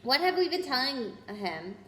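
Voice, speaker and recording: Woman voicing a wrathful, high-pitched male centaur. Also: big pitch swings, moderate pace. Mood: outraged. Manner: indignant, emphatic, declamatory. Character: proud, wrathful male centaur, scolding and thunderous. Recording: roomy echo with noise.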